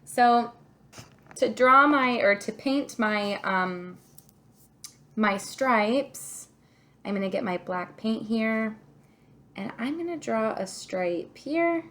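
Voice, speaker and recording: A woman's voice: a little high, lively intonation, slow pace, pauses often, fairly bright tone. A woman teaching young children a craft, talking through each step and thinking aloud in an encouraging way. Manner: encouraging, thinking aloud, asks the listener questions. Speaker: kindergarten teacher.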